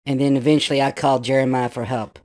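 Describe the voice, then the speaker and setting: A man talking through a pitched-up voice changer that makes him sound like a small child, complaining irritably and swearing as he starts telling his story. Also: level tone, fast, a little mellow, no room sound, studio quality. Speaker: guest storyteller. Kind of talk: casual chat.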